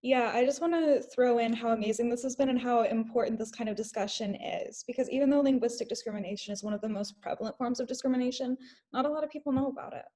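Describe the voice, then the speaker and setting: Female researcher-panelist, earnest scripted read, fast. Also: a little high, mellow. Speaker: researcher guest.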